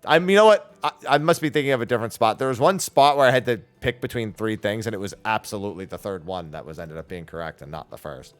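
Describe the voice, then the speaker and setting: A man's voice: fairly low pitch, animated, quick pace, few pauses, faint music behind. A man streaming a game with casual, blunt and sweary commentary. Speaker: streamer playing a story game. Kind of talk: casual game commentary, reading dialogue aloud.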